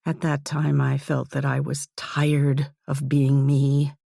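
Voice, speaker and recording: Slow, low, creaky-voiced woman narrating. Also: monotone, mellow, no echo, studio quality. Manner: measured, intimate, reflective reading. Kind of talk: audiobook narration of a first-person novel.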